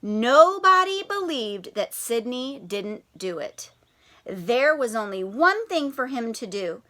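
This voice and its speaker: A woman teacher reading a picture book aloud to young children. Her high, bright voice swoops up and down with lively expression. She pauses often to ask her listeners questions.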